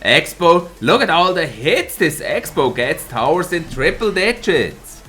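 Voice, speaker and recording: A man's voice: a little high, a little slow, soft background music. A man with a slight cold commentating an esports match and chatting with viewers, laughing often. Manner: lively, laughs often, greets chat viewers by name. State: a bit hoarse from a cold. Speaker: esports caster and streamer. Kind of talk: live tournament commentary with chat replies.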